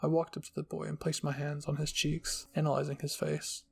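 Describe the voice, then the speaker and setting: A man's voice: fairly high pitch, completely flat delivery, brisk, vocal fry, slightly rough. A man narrating a scripted first-person story as the host of an audio channel.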